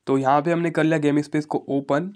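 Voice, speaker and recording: A man's voice: fairly high pitch, flat delivery, fairly quick, a little mellow. A man giving a casual phone tutorial voice-over, repeating himself and often checking in with listeners. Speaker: tech YouTuber.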